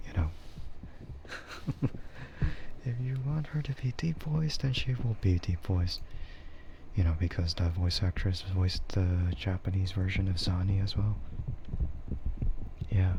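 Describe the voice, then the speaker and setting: Slow, low, hushed man streaming ASMR. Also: dull audio, a little hiss or hum. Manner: hushed, often slipping into whisper; rambling, hesitant. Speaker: VTuber streamer. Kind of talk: soft ASMR casual chat.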